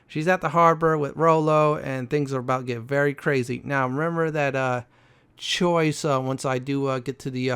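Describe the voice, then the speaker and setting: A man giving casual gameplay commentary in a halting, rambling, explanatory way. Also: mid-range voice, fairly bright tone, clean tone. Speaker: host of a gaming walkthrough.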